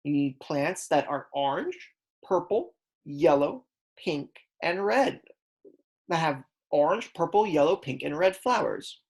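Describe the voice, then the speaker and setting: A woman's voice: sits low, expressive, unhurried, a little mellow. A woman kindergarten teacher reading aloud a lesson for young children, explaining words simply and prompting her pupils.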